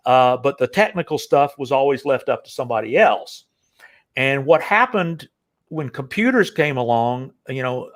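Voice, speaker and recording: An older man hosting a casual interview, talking in long rambling stretches full of fillers and restarts. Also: medium pitch. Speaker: interview host.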